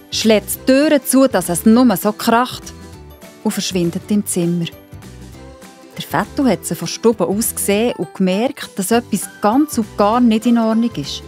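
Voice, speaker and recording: A woman's voice: mid-range voice, darkish tone. Swiss German woman narrating over music. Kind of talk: scripted children's story narration.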